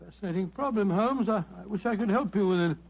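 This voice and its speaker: A keen flustered english gentleman sidekick, high voice, polite, muffled old radio play. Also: quick pace, creaky, a little hiss or hum. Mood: eager, curious. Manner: polite, hesitant, eager. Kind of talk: radio drama acting.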